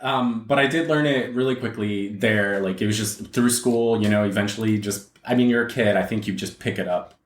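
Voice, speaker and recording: A man's voice: on the low side, a little fast, few pauses, echoey room. A man whose first language is Portuguese, chatting as a podcast guest and telling stories with easy laughs. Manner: chatty storyteller, laughs easily. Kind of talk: casual chat.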